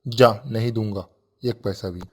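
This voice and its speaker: A man playing a harsh, stingy village landlord in a cartoon story, gruff and scolding. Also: fairly low pitch, fairly even intonation, measured pace. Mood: angry, scornful.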